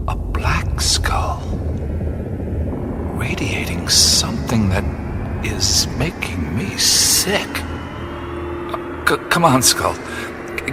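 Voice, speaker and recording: An actor playing a curious, wry male explorer who talks to himself in hushed half-whispers, in a studio radio drama. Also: mid-range voice, background noise. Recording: studio radio play with music behind. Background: music.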